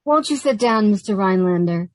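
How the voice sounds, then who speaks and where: A woman's voice: medium pitch, lively intonation, fairly quick, darkish tone, no room sound, natural voice. A woman playing an anxious, sardonic young roommate who also narrates, in an old-time radio sitcom style. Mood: strained politeness. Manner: wry, long-suffering, nervous.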